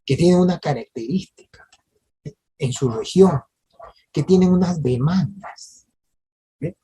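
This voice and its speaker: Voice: medium pitch, expressive, measured pace, mellow, husky, poor audio. A lecturer teaching a workshop, appealing to listeners directly with tag questions and often restarting mid-phrase. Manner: hesitant restarts and repeated words. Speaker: lecturer at a parliamentary training workshop. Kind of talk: spoken lecture to an audience.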